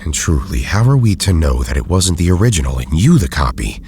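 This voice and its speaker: An actor playing an erudite, weary but loyal scientist in an audio drama, speaking in formal, deliberate phrases. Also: male voice, extremely low pitch, big pitch swings, vocal fry, dark tone, a little hiss or hum, full character voice. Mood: solemn, probing.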